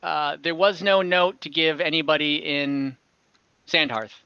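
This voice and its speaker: A man's voice: fairly high pitch, steady tone, measured pace, creaky, clean tone, no echo, lo-fi sound. A man casually running an online tabletop game as game master. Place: online voice call.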